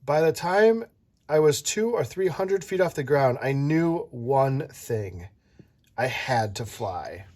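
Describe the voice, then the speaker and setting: An adult reading a children's picture book aloud, playful and animated, stopping to chat with the child listening. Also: medium pitch, slow pace. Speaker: read-aloud storyteller.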